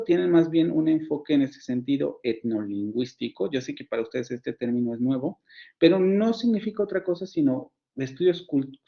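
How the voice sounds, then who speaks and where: A man's voice: medium pitch, creaky. Mexican man lecturing over dull audio. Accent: Mexican Spanish. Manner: explanatory, many fillers and restarts, rhetorical questions. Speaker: university phonetics professor. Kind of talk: online lecture. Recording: dull lo-fi sound.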